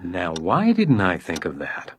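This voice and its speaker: An actor playing a terse, dry hotel detective and war veteran who keeps to himself, in a radio drama. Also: male voice, sits low, huge pitch swings, unhurried, slight background noise, exaggerated voice.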